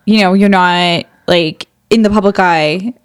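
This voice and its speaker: A woman co-hosting a casual podcast, agreeing often and tossing in quick jokes. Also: medium pitch, clear, resonant tone, no echo, clean studio sound. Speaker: podcast co-host. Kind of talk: casual chat.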